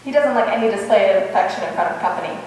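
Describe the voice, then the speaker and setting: A woman's voice: mid-range voice, animated, rapid-fire, a little mellow, distant mic, natural voice. Woman acting wry radio-comedy schoolteacher, echoey hall. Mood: playfully doting. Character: wry, quick-witted schoolteacher woman in comic romance. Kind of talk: 1940s-style radio comedy stage acting. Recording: echoey hall from afar, faint noise.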